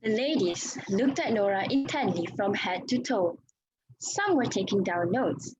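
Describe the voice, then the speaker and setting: A woman reading scripted narration for a dramatic reading, as an expressive storyteller. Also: medium pitch, unhurried, muffled recording. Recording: clear background noise.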